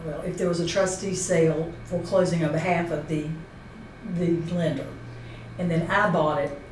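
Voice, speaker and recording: A woman's voice: lowish voice, steady tone, normal speed, a little mellow. A woman presenting an informal instructional talk, explaining step by step and checking points with a colleague. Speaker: seminar presenter. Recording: roomy echo, distant mic, faint noise.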